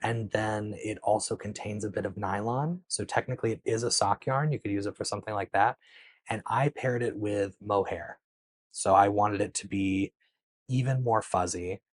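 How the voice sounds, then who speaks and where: Soft-spoken man chatting to camera. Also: on the low side, fairly even intonation, moderate pace, slightly rough, no echo. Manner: conversational, explains patiently with frequent um and so. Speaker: knitting channel host. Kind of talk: casual Q&A chat to camera. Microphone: clip-on mic.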